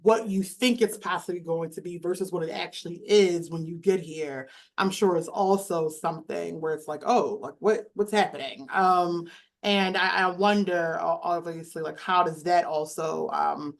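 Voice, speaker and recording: Woman moderator, easygoing chatty delivery, slightly low voice. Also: steady tone, normal speed. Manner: loose and conversational, many fillers. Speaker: panel moderator. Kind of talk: casual panel discussion.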